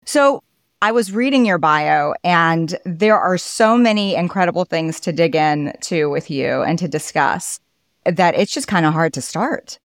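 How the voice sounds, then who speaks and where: A woman's voice: fairly low pitch, expressive, unhurried, dry recording, clean studio sound. A woman hosting a podcast, asking upbeat, conversational questions. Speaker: podcast host. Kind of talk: interview hosting.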